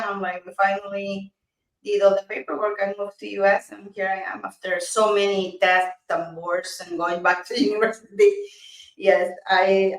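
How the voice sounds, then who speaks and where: A woman's voice: medium pitch, fairly bright tone, distant mic. A Peruvian woman in her thirties speaks earnestly with a Spanish accent, restarting her phrases as she goes. She sits in a small office on a video call. Her voice sounds roomy and set back from the mic. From Peru. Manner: earnest, many restarts and fillers. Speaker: dentist, guest. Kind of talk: casual interview chat. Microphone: video call webcam.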